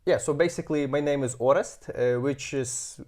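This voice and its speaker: A man in his thirties from Ukraine with a Ukrainian accent, speaking as an interview guest and often pausing with fillers while he searches for words. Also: medium pitch, darkish tone. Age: 30s. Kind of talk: casual interview.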